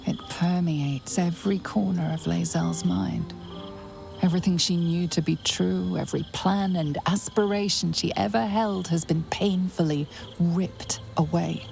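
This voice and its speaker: An actress playing a grave, somber female narrator in a fantasy video game, giving deliberate dramatic narration. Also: fairly low pitch, vocal fry, darkish tone, faint music behind.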